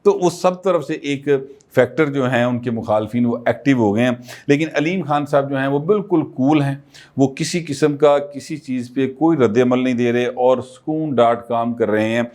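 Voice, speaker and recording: Man, political commentary, chatty, lots of um pauses, mid pitch. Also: darkish tone. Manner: conversational, many filler pauses.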